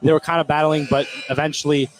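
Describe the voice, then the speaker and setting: A man's voice: a little high, little pitch movement, fast talker, slight background noise. A man giving conversational colour commentary and analysis during a live basketball game.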